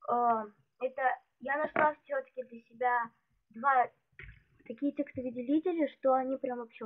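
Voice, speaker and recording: A woman vlogger showing her stationery at a desk, talking in a chatty, hesitant way while she is ill. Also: high voice. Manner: chatty, hesitant, many fillers. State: ill, voice affected. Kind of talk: casual show-and-tell. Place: indoors at a desk, hands on table. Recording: tinny phone-like audio with loud noise.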